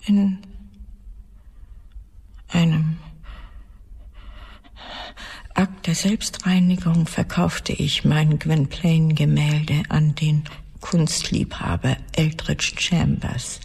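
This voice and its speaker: A cold-blooded woman confessing murder in a radio drama. Her voice is husky and creaky, with slow, deliberate phrasing that slips into breathiness. A long reverb trails every phrase. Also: low-pitched, fairly even intonation, a little mellow, heavily acted. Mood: detached, grim. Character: cold, vengeful female murderer confessing her cruel deeds.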